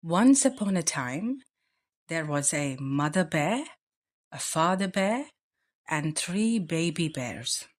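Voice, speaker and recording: Very slow woman storyteller. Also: low-pitched, very animated. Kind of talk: slow bedtime story narration for children.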